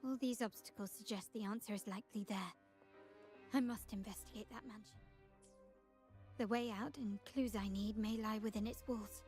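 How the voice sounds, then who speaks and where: A woman's voice: mid-range voice, fairly even intonation, moderate pace, a little mellow, close to an everyday voice. An actress playing a poised, confident young noblewoman in a video game, composed in thought and theatrical in her battle cries. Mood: thoughtful. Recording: game audio with music behind.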